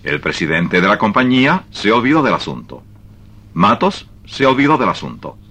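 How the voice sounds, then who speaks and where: A man's voice: fairly low pitch, very animated, normal speed, sharp tone, breathy edge. A man narrating a scripted radio drama as its storyteller.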